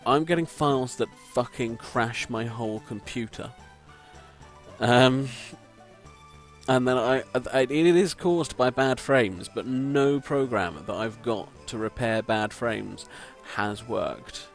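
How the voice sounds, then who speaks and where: Croaky man chatting over gameplay. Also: mid-range voice, takes it slowly, soft background music. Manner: chatty, self-deprecating, swears casually. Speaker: let's play commentator. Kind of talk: rambling gameplay commentary. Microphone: home desk microphone.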